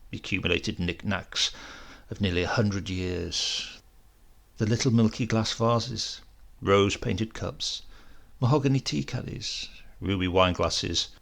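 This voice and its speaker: A man with an English accent reading a literary story aloud in an expressive, measured way. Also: on the low side, gravelly. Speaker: audiobook reader.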